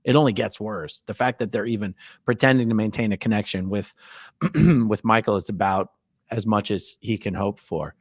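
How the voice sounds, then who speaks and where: A man hosting a casual interview, probing and halting, repeating words for emphasis as he works toward his point. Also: lowish voice, no room sound, clean studio sound. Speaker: host interviewing a guest on a recorded call.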